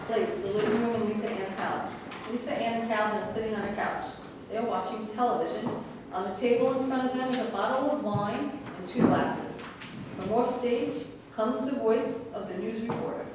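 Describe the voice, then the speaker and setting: A woman's voice: a little high, normal speed. A woman narrating scene settings and stage directions from a script at a staged reading. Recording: echoey room, distant mic, noisy.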